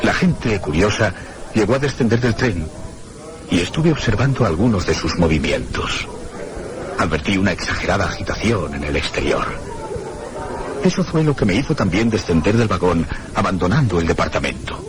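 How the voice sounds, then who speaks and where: An actor playing a reflective gentleman who narrates a mystery in a measured, literary radio drama style. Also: deep voice, big pitch swings, background noise. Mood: reflective, grave. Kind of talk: scripted first-person radio drama narration.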